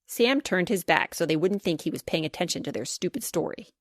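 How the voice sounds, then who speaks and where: A woman reading a children's storybook aloud as scripted narration, expressive and clearly paced. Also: on the low side, brisk, dry recording, clean studio sound. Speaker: author reading her children's book.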